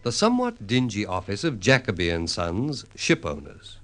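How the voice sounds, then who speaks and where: A man narrating a scripted radio drama as its announcer, in a theatrical, measured manner. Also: lowish voice, wildly swooping pitch. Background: faint music.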